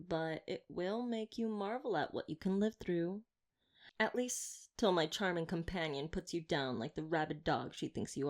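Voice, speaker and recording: A woman's voice: sits low, a little mellow. A woman playing a wry, playfully menacing young vampire slayer in an ASMR roleplay monologue, chatty, teasing and theatrical. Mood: menacing, taunting.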